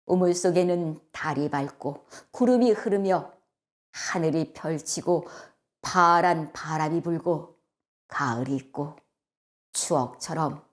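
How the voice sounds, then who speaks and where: A woman voicing an earnest, sorrowful young male poet in a storybook, speaking in a solemn, measured, wistful way. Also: deep voice. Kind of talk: storybook acting and solemn poetry recital.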